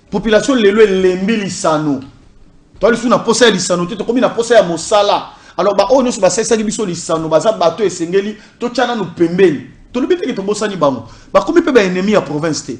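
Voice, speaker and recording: A man from DR Congo's Congo Central making his case in a political interview. He speaks emphatically, hammering points home with repeated lists, in a fairly high voice. As the party's communication officer he argues with insistence. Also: animated, quick pace. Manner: emphatic, repetitive listing. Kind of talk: political interview, code-switching.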